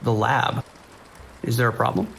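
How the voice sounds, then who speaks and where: A man playing a calm, wry sheriff's dispatcher with a dry, reassuring manner in an audio drama. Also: medium pitch, a little slow, creaky, a little hiss or hum. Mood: wry, attentive. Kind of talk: naturalistic audio drama acting.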